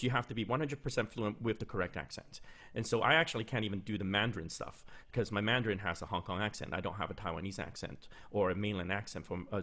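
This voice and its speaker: A man's voice: fairly low pitch, a bit bright. A man in his thirties, a voice actor, answering interview questions in a loose, chatty way with frequent restarts, recorded on a studio mic at home. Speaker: voice actor giving career advice. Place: small room at home, indoors. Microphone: large studio mic with pop filter, headphones on.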